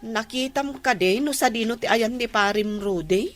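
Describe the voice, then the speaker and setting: A woman playing a jealous, quarrelsome middle-aged wife in a radio drama, with sharp, indignant retorts. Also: on the high side, moderate pace, close to an everyday voice.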